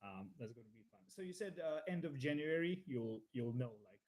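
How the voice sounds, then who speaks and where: Laid-back Croatian man, mid-pitched. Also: a little fast. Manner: relaxed, laid-back, repeats words, laughs easily. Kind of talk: casual live-stream chat.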